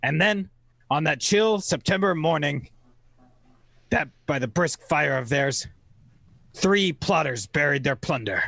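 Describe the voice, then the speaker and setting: Gravelly man narrating old-time radio theater. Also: a little high, expressive, unhurried, long pauses, a bit bright, dry recording.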